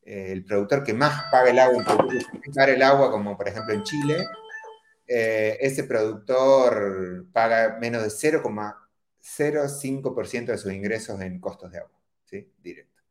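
A man with a Córdoba accent from Argentina speaks in a fairly low voice. He explains things patiently and keeps checking in with short questions. His voice sounds roomy, with echo around it. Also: normal speed. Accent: Córdoba Argentine Spanish. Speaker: startup founder guest. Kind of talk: podcast interview.

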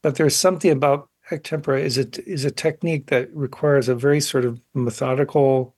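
A man in his sixties speaks as an interview guest in a mid-range voice. He is reflective and thoughtful, often halting to find the right word. The sound is close and dry, with little room in it. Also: medium pitch, steady tone, darkish tone, breathy edge, no echo. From grew up in South Korea as child of missionaries. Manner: thoughtful, halting, searching for words. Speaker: guest, painter.

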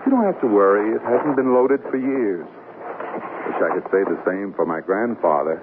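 A man's voice: fairly low pitch, expressive, moderate pace, lightly acted. An actor playing a wry, middle-aged American newlywed businessman with a dry, wisecracking delivery in a radio comedy drama. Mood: wry, cheerful. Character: newlywed businessman husband, wry, playful, mildly jealous. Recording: tinny, noisy old radio broadcast recording.

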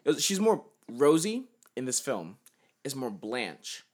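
Twenties man, podcast co-host, loose swearing banter, average pitch. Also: very animated, unhurried. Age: 20s. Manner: joking, profane, rambling. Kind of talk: casual chat.

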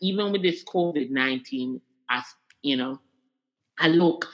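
A woman's voice: low-pitched, unhurried, lo-fi sound. A woman from Jamaica with a Jamaican accent, talking candidly and animatedly as an interview guest, often repeating words for emphasis.

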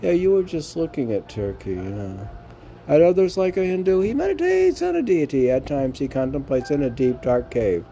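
A man talking casually in a halting, musing, repetitive way and reciting poetry as a podcast host, on an amateur recording. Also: on the high side, expressive, creaky, mellow, slight background noise. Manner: halting, musing, repeating phrases. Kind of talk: rambling casual talk and poem recitation.

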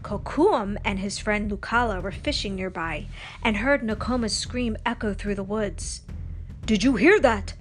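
A woman's voice: medium pitch, moderate pace, slight background noise. Woman reading a children's storybook. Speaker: storybook reader. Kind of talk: scripted children's story narration.

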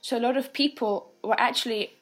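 A young woman, a podcast guest, talking thoughtfully in casual conversation with frequent fillers and soft laughs. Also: on the high side, fairly even intonation, a little fast. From England.

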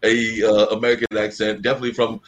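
A man with a fairly low voice hosts a relaxed interview. His questions wander through long, winding detours before they land. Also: fast talker. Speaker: interview host. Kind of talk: conversational interview.

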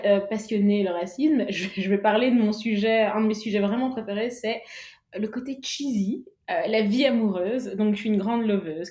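A woman's voice: medium pitch, darkish tone. A woman in her thirties hosting a casual chat, speaking in a frank, playful and slangy way. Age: 30s. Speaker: host, interviewer. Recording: roomy, echoing sound.

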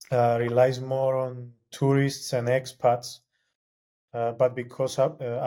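A man's voice: mid-range voice, warm tone. A young man whose first language is Greek, answering interview questions in a hesitant way with many fillers and restarts. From Cyprus. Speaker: guest, film graduate.

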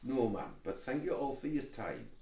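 A man's voice: medium pitch, huge pitch swings, moderate pace, creaky, mellow. A man playing a friendly, plain-spoken visitor with a Geordie accent, matter-of-fact and full of dialect, in a comic audio drama. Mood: blunt. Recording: roomy, echoing indoor sound.

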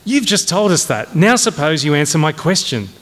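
A man's voice: a little high, sing-song, moderate pace, fairly bright tone, pitched above the performer's own voice. A man voicing a bullying, irascible army colonel in a comic audiobook, barking and domineering. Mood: hectoring. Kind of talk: comic dramatised audiobook reading. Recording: long echoing hall reverb.

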